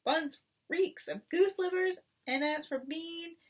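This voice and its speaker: A woman's voice: very high-pitched, slow, voice pushed up. A woman voicing a cocky, affectionate fox husband in a storybook, jaunty and self-assured, recorded at a distance. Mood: cocky, boastful. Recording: voice distant from the mic.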